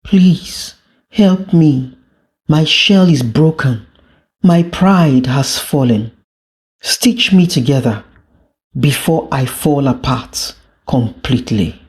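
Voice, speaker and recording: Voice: mid-range voice, takes it slowly, a little mellow, natural voice. A storyteller voicing a humbled male tortoise in a folktale, groaning and pleading in a trembling voice. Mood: pained pleading. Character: broken, humbled male tortoise begging for help.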